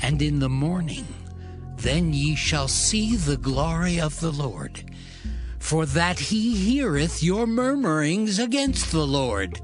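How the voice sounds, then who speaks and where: An actor playing an earnest, reproving Hebrew leader, declaiming in a dramatized scripture reading. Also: male voice, on the high side, very animated, takes it slowly. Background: music.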